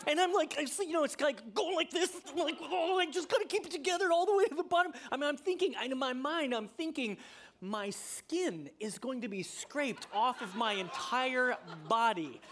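Animated preacher man telling stories. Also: normal speed, a little hiss or hum. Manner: animated storytelling, voice climbing high when excited; emphatic, repeating key phrases. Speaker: pastor. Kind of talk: sermon.

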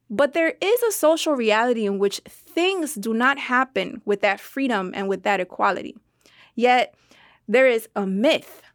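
A woman reading a translated interview answer as a voice-over, in a measured, formal and explanatory manner. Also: on the high side, dry recording, clean studio sound. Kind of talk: scripted translated narration of historical analysis.

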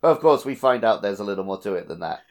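A man's voice: fairly low pitch, lively intonation, quick pace, lo-fi sound. A man co-hosting a casual video game podcast, sometimes repeating words as he thinks aloud. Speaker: podcast co-host.

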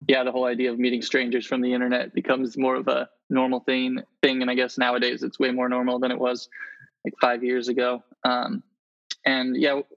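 A man speaking earnestly in a casual, conversational interview as a guest. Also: medium pitch. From Indonesia and the Philippines. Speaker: interview guest, travel photographer. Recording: slightly dull, lo-fi audio.

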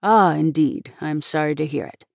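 Woman voicing a low, reserved young minister. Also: darkish tone, no echo, clean studio sound. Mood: polite reserve. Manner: courteous, measured. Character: polite, reserved, gentle young male minister, melancholy in love.

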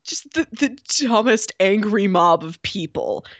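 A woman's voice: mid-range voice, animated, a little slow, dry recording. A woman co-hosting a podcast, talking through a film casually and conversationally, with many filler likes. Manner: conversational, peppered with "like". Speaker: podcast co-host.

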